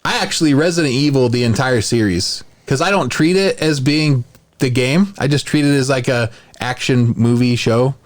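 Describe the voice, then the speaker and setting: A man's voice: mid-range voice, moderate pace. A man hosting a podcast, chatting casually and giving his opinions in a conversational way. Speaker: podcast host. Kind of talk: casual podcast chat about films.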